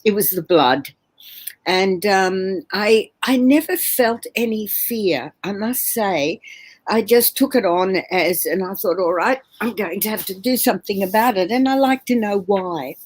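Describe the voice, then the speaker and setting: A woman in her seventies telling her personal story as an interview guest on a video call from home, earnest and halting with many fillers and restarts. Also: medium pitch, breathy edge. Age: 70s. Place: home room.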